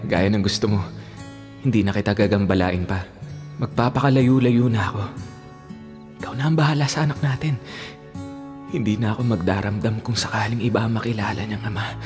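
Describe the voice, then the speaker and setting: An actor playing a heartbroken, resigned former lover in a Filipino radio drama, voicing a tender farewell letter. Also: male voice, lowish voice, natural voice.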